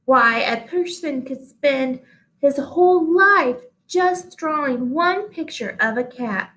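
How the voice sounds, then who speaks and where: A woman reading the part of a brisk schoolteacher in a storybook, emphatic and instructive. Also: sits high, animated, sharp tone, pitched above the performer's own voice, full character voice, rough recording. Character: brisk woman schoolteacher, questioning and correcting pupils.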